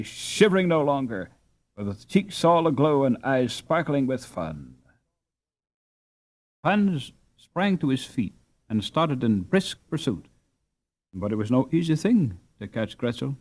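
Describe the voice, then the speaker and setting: A man reading a story aloud as an audiobook narrator, in an expressive, dramatic storytelling manner. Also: mid-range voice, measured pace.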